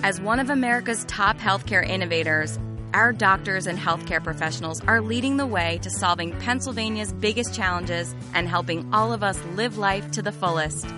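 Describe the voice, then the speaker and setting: A woman reading a scripted healthcare commercial in a smooth, polished manner. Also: medium pitch, moderate pace, music in the background. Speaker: ad voice-over.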